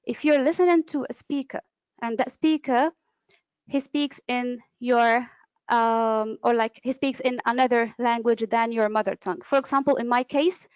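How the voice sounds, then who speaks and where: A woman's voice: fairly high pitch, fairly even intonation, moderate pace, clear, resonant tone, no room sound. Woman giving a webinar lecture. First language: Arabic. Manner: explanatory, with frequent fillers and restarts. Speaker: webinar presenter, interpreter. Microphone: computer mic, online webinar. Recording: dull, lo-fi webinar audio.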